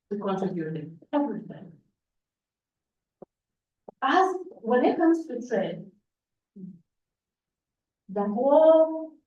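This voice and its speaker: A woman's voice: on the high side, slight background noise. Ugandan woman in her 40s giving a talk, picked up from far off in a roomy hall, kinda muffled. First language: Luganda. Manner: warm storytelling, restarts phrases. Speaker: panel speaker. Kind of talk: personal storytelling speech. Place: large hall at a conference. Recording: echoey hall from far off, dull.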